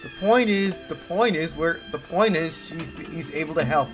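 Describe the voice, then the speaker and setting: A man improvising replies as he plays a video game, halting and repeating his phrases. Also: on the high side, expressive. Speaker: game streamer. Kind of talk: improvised reactions talking along with a game. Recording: game music behind the voice.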